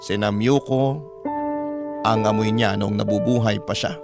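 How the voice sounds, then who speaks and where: A man's voice: fairly low pitch, steady tone. Solemn Filipino male storyteller over piano music. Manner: solemn, sorrowful, deliberate delivery. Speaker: radio drama storyteller. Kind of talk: dramatic first-person story narration.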